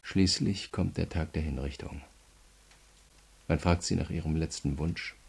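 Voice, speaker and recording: Deep, gravelly, relentless prosecutor. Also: male voice, fairly even intonation, exaggerated voice. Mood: cold, menacing. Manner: measured, methodical, coldly polite. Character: cool, relentless male state prosecutor pressing a prisoner. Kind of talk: German radio crime drama acting.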